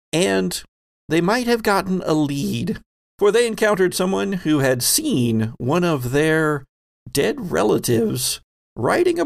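A man narrating a tabletop game podcast as host and game master, with dramatic storytelling phrasing and deliberate pauses. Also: fairly high pitch, gravelly.

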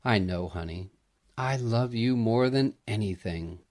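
A man voicing a gentle, loving storybook mother who comforts her daughter tenderly and reassuringly. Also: on the low side, measured pace, close to an everyday voice.

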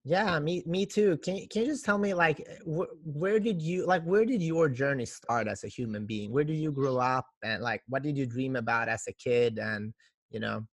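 A man's voice: medium pitch, moderate pace, no room sound, clean studio sound. A man hosting a podcast, upbeat in introductions and stumbling over his questions with "like". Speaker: podcast host.

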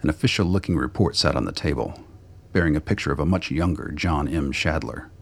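Radio drama narrator: man, bottomless bass, husky, delivering scripted prose. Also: lively intonation, a little fast. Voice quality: very deep, gravelly.

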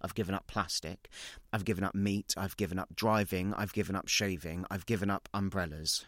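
A man acts out a guilt-ridden storyteller coming apart in a dramatic short story. The voice is lowish, bright and tense, very dry and close. The delivery is confessional and obsessive, in clipped, repetitive phrases. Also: fairly even intonation, a little fast, no echo. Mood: brooding, guilty. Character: guilt-ridden, unravelling well-off narrator, anguished and obsessive. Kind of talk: dramatic first-person short story reading.

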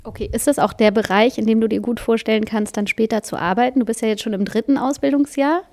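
A woman's voice: a little high, steady tone, fast, a little mellow. A woman hosting a podcast, asking friendly follow-up questions. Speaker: podcast host. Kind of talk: podcast interview.

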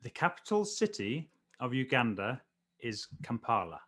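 A man co-hosting a casual show, reading out quiz facts with slow emphasis. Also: medium pitch, sing-song, fairly bright tone, breathy edge. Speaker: co-host, quiz presenter. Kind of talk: quiz presenting.

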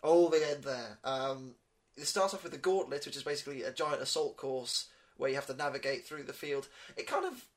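A man hosting a casual podcast chat, talking quickly with frequent restarts and fillers. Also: medium pitch. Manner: fast, restarts often, lots of "you know". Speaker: podcast host.

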